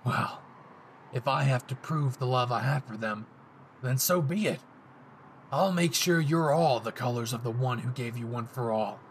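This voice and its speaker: A man voicing an obsessive, threatening young male anime hero in a low, growling audio roleplay performance. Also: normal speed, a little hiss or hum, natural voice. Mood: cold threat.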